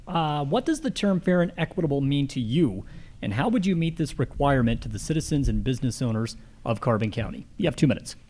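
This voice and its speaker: A man's voice: mid-range voice, lively intonation, fast talker, darkish tone. A man with an American accent moderating a forum, formally putting questions and time limits to speakers.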